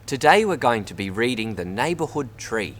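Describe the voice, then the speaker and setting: Australian man reading a children's story expressively. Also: medium pitch. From Bundaberg, Queensland, Australia. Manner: expressive, addresses young listeners with pauses for repeating. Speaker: storybook reader. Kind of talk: read-aloud children's story, narration with word teaching.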